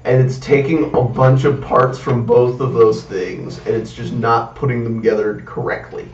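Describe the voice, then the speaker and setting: A man's voice: medium pitch, fairly even intonation, moderate pace, faint music behind. Echoey young man chatting about games. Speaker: podcast guest discussing games. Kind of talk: casual gaming podcast chat. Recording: echoey room, distant dull mic.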